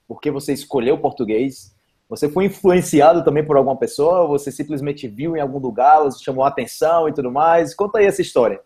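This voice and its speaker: A man's voice: a little high, warm tone. A man in his thirties from Brazil, hosting a casual interview over a video call, chatty and upbeat with lots of filler words. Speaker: host. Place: small indoor room. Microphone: earphone headset mic.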